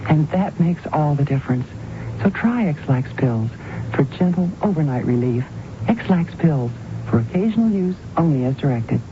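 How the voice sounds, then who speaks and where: A man's voice: fairly high pitch, lively intonation, moderate pace. A man reading a scripted radio advertisement as a commercial announcer. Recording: tinny, noisy old radio broadcast.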